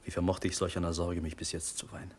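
An actor playing an ardent, devoted young warrior prince, speaking fervent verse in a classical radio drama. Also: male voice, low voice, monotone, quick pace, a little hiss or hum. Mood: tender grief. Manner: fervent, theatrical.

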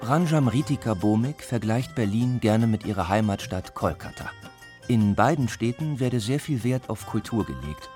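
A man reading a scripted report as a reporter, even and measured. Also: on the low side, music in the background. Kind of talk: scripted narration.

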